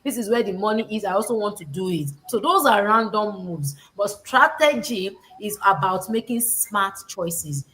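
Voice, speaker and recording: A woman's voice: mid-range voice, expressive, moderate pace, hardly any pauses, fairly bright tone, rough recording. Preachy woman host repeating her points. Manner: preachy, repeats key phrases for emphasis. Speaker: host of a live online business talk. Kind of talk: motivational talk on business strategy.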